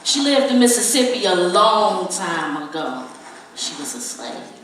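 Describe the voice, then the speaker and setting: A woman's voice: medium pitch, big pitch swings, unhurried. A woman acting a protective single mother in a stage play, speaking colloquially and with emphasis. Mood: tender, sorrowful. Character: protective single mother, warm with son, defensive with strangers. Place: theatre stage. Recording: very echoey theatre, distant mic, faint noise.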